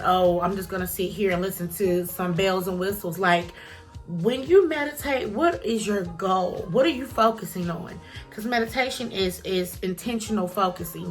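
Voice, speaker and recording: A woman talking casually to camera as a vlogger, chatty and encouraging, with a stuffy nose. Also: mid-range voice.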